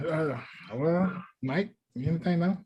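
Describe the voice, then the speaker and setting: Guy co-host just chatting, voice a bit on the high side. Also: slow pace, dull audio. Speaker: talk show co-host. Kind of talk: casual chat.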